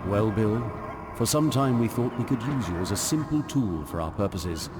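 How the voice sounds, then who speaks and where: An actor playing a pompous fallen angel in a video game, an arrogant lord of purgatory who speaks in grandiose, scornful phrases. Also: male voice, lowish voice, normal speed, natural voice.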